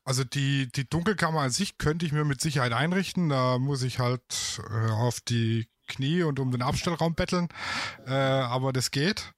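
A man's voice: mid-range voice, moderate pace, no echo, studio quality. A man from Baden-Württemberg with a light Swabian accent, a photographer chatting casually and rambling a little. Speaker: photographer guest. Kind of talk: casual podcast chat.

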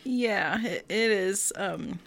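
A woman's voice: mid-range voice, slow, fairly bright tone. A woman co-hosting a podcast, casually and tentatively discussing a film. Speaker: podcast co-host.

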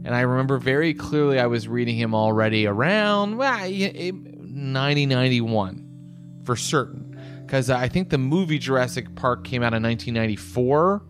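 A man in his thirties, a podcast guest who rambles and corrects himself mid-sentence. He speaks at a middle pitch, with faint guitar music in the background. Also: lively intonation, moderate pace. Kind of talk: casual podcast chat.

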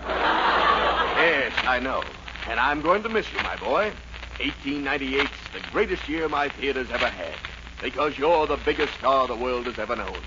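An actor playing a grand, fond old-time theater impresario in a 1940s radio comedy. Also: male voice, medium pitch, animated, moderate pace, lightly acted. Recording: noisy, muffled 1940s radio recording.

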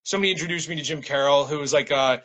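A man in his thirties with a fairly high, very bright voice, speaking as a guest in a casual interview. He talks in loose slang, dropping "like" and "man" throughout. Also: very fast, lo-fi sound. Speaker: interview guest, poet and small-press publisher.

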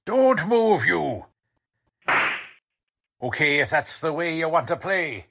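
Man acting a police lieutenant, confident, tough, deliberate pace, animated intonation, old radio drama style. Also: fairly high pitch, vocal fry, slight background noise, full character voice. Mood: commanding. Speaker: voice actor.

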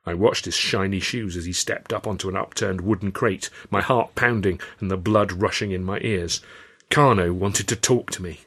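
A man's voice: low voice, moderate pace, fairly bright tone, husky. A man with an English accent reading a scripted story aloud as an audiobook narrator.

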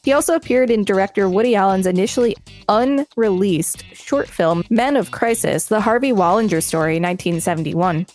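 A woman's voice: mid-range voice, fairly quick, darkish tone, soft background music. A woman co-hosting a podcast, reading articles aloud and chatting casually between entries. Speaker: podcast co-host.

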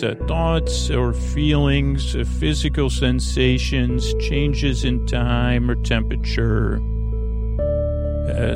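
A man hosting a bedtime podcast in a creaky voice, rambling in a lulling, halting, meandering way. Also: medium pitch. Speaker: podcast host.

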